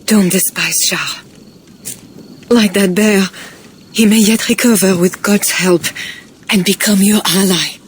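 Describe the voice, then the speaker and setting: Pious noble queen, voiced by a woman. Soft and earnest, medium pitch. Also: fairly even intonation, unhurried, long pauses, slight background noise, lightly acted. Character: pious, gentle noblewoman estranged from her husband. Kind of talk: video game cutscene acting.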